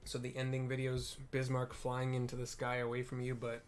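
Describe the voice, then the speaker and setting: A man's voice: mid-range voice, completely flat delivery, fairly quick, a little hiss or hum. A man giving casual, unscripted gameplay commentary, rambling and thinking aloud as he explains what to do.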